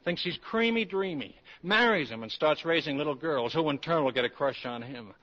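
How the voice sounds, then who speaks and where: An actor playing an insistent college professor in love in a 1940s radio drama, brisk, assertive and persuasive. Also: male voice, a little high, lively intonation. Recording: dull vintage 1940s radio recording.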